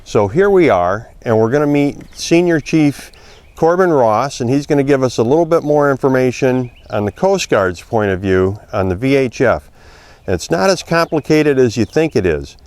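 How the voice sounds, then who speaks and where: A man's voice: mid-range voice, darkish tone. A man presenting an instructional boating video in an informal, explanatory manner. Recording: clear background noise, ambient sound.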